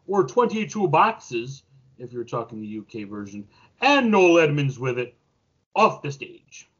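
A man's voice: mid-range voice, big pitch swings, a little slow, a bit bright. A man trading casual wisecracks as a panelist on a podcast. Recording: dull, lo-fi sound.

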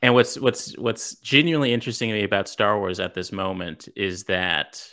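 A man from Los Angeles answers as a guest writer in a flat, monotone delivery full of ums and restarts. His voice sits fairly low but has a bright, forward edge. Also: moderate pace. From Los Angeles, USA. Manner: monotone, hesitant with many fillers. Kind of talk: casual interview answers.

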